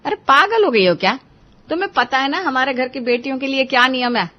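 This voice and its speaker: A woman's voice: high voice, brisk, muffled recording, voice pushed up, natural voice. A woman voicing an anxious, strict mother-in-law in a moral-story drama, with an emphatic, admonishing manner. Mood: scolding.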